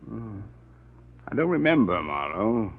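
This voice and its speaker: A man's voice: fairly low pitch, very animated, vocal fry, a little hiss or hum, heavily acted. An American man playing a shady, needling private sleuth in a 1940s radio drama, slangy and mocking. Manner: slangy, mocking, drawn-out insinuation. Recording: dull vintage radio recording.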